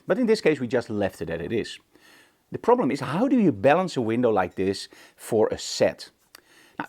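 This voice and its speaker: A man's voice: medium pitch, a little mellow. A man hosting an informal photography tutorial, speaking conversationally and emphatically with rhetorical questions. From Netherlands. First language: Dutch. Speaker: photography instructor, show host. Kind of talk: informal tutorial talk to camera.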